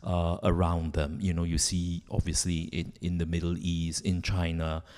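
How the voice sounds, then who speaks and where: Low-voiced man from Singapore on a panel. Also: level tone, moderate pace, a little mellow. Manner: thoughtful, frequent you know. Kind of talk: panel discussion. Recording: echoey hall.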